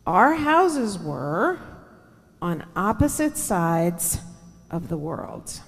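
A middle-aged woman reading a picture book aloud to children, expressive and lingering on words, with conversational asides. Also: on the low side, extremely slow, creaky, husky, faint music behind. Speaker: church storyteller for children. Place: large church sanctuary, seated indoors. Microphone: headset microphone. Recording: church hall reverb.

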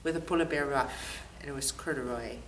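A woman's voice: rumbling low, brisk, a bit bright. A woman giving short, playful answers in a studio interview. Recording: distant mic with faint noise.

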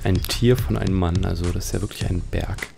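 A man's voice: sits low, steady tone, brisk, vocal fry, noisy background. A man hosting a let's play with casual, musing commentary and wry understatement. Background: game music.

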